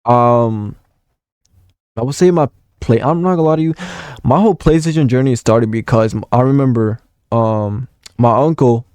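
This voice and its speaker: A man's voice: mid-range voice, normal speed, darkish tone, smooth, dry recording. A nineteen-year-old man from Puerto Rico, rambling through a casual gaming vlog story with lots of swearing and fillers. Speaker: gaming content creator.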